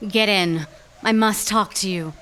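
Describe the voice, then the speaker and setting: A woman's voice: medium pitch, unhurried, a bit bright, slight background noise, close to an everyday voice. An actress playing a mysterious, anxious married woman in trouble in a noir radio drama, terse and guarded. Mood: guarded urgency.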